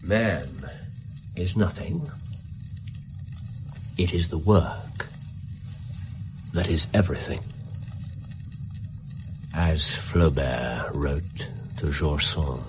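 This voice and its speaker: An actor playing a brilliant, bored English gentleman detective in a British radio drama, theatrical, precise and self-assured. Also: very deep voice, extremely slow, long pauses, background noise, heavily acted. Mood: weary, melancholy.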